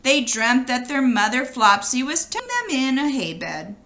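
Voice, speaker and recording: Animated, bright-voiced woman reading a storybook. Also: sits high, moderate pace, slight background noise. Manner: animated, with brief asides to young listeners. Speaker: storybook reader. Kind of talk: expressive read-aloud of a children's storybook.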